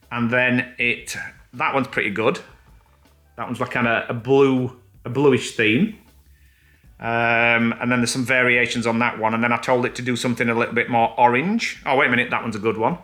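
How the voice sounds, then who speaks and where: A man's voice: medium pitch, steady tone, normal speed, bright, forceful tone, faint music behind. A man co-hosting a vlog, chatting casually and enthusiastically to camera with plenty of fillers. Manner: rambling, enthusiastic, many fillers. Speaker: vlog co-host.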